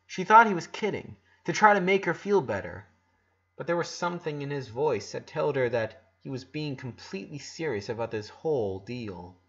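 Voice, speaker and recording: A man's voice: mid-range voice, expressive, moderate pace, a bit bright, slightly rough, muffled recording. A man narrating a story aloud as an amateur audiobook reader.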